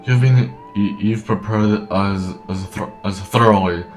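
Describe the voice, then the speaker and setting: Female game streamer, extremely low pitch, casual commentary with clear game music underneath. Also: steady tone, measured pace, a little mellow.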